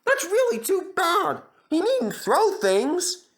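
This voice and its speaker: Percy, a high-pitched plucky little engine. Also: male voice, wildly swooping pitch, slow pace, a bit bright, exaggerated voice. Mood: indignant, glum. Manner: exclamatory, plaintive. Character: Percy, plucky young male small steam engine, easily indignant. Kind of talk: children's storybook character voice.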